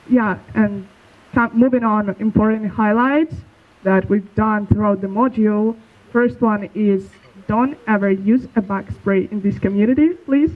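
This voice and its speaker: A young man from Belarus presenting a school project in a casual, slightly halting way. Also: high-pitched. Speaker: student presenter. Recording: tinny phone-like sound.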